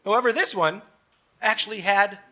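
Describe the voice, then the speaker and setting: A man's voice: sits high, normal speed, bright, forceful tone. A man co-hosting a live comedy show, reading comments with dramatic, exaggerated delivery. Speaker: comedy show co-host and performer.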